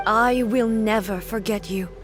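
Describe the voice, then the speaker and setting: A woman playing a solemn, regal warrior god and ruler in a video game, speaking in a formal, grave, measured manner. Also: mid-range voice, fairly even intonation, faint music behind, natural voice. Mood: solemn, mournful.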